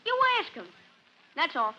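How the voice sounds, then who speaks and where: High, bright, stubborn schoolboy. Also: very animated, measured pace, exaggerated voice. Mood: insistent. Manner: insistent, argumentative. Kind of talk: 1950s radio drama acting. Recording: old noisy 1950s radio recording.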